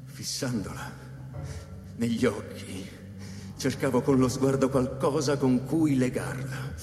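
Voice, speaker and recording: A man's voice: medium pitch, slow, close to an everyday voice. Actor as troubled man narrating, cavernous echo. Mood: hushed, grave. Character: troubled man, a space station scientist narrating his dread. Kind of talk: dramatic first-person narration in radio theatre. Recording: echoey reverberant hall with music behind.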